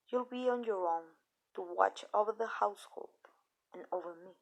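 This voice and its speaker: A woman acts out a female vampire mistress in an ASMR roleplay, possessive but tender. Her voice sits at a middle pitch with a marked creak. She speaks in a soft, hushed, intimate way, leaving deliberate pauses. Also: natural voice, low-quality recording.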